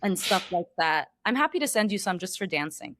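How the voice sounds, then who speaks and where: A woman on a podcast talking in an animated, emphatic way, with a lot of "like.". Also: on the low side, fairly quick, background noise. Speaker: perfumer guest. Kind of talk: casual podcast conversation.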